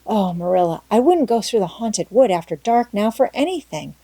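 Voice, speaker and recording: A woman voicing an excitable, imaginative young girl with a breathless, dramatic, talkative manner in a storybook reading. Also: mid-range voice, normal speed, husky, dry recording. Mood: thrilled dread. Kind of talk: storybook character voice in audiobook.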